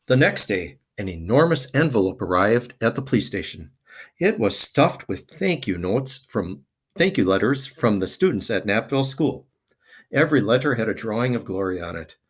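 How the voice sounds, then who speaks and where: A man's voice: lowish voice, very animated, moderate pace, breathy edge. A man reading a children's picture book aloud expressively, with dramatic emphasis.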